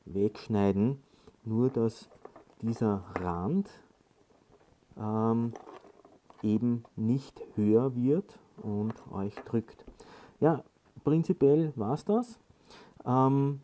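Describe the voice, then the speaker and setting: Casual male tutorial presenter, very dark soft-edged tone, slow and hesitant delivery. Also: medium pitch. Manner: halting, hesitant delivery with frequent filled pauses. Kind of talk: casual hands-on instructional talk.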